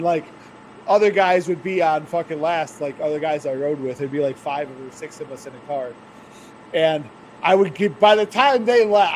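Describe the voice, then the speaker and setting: High-voiced man, wrestler and co-host, swears and laughs through stories; faint noise. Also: rough recording. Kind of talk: casual profane chat.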